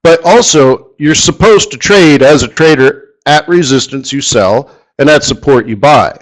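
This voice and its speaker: A man teaching a live trading lesson who keeps clearing his throat as his voice gives out. He rambles, corrects himself as he goes and repeats a point for emphasis. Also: fairly high pitch, unhurried, low-quality recording. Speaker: forex trading instructor. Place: studio or office, live stream.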